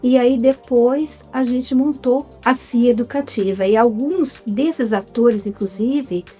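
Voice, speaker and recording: A woman's voice: fairly high pitch, slow pace, warm tone, husky, faint music behind. A woman from Brazil, a writer and former psychologist, telling her story in a casual interview with many hesitant fillers.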